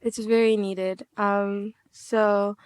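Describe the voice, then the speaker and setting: A woman's voice: mid-range voice, level tone, extremely slow, darkish tone, clean tone, no room sound. A woman hosting a podcast, upbeat and chatty, laughing often. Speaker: podcast host. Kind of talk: casual chat.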